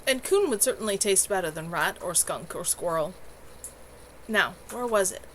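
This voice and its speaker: A woman's voice: mid-range voice, expressive, normal speed. Woman reading a story, audible background noise. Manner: amateur, unpolished narrative delivery. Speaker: author reading own novel aloud on a livestream. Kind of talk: live novel reading with casual asides. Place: at home.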